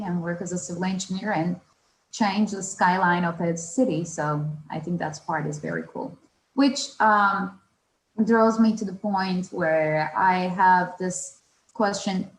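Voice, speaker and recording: Soft-spoken woman moderator from Turkey, echoey room. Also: fairly low pitch, slight background noise. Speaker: panel moderator. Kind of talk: online panel discussion.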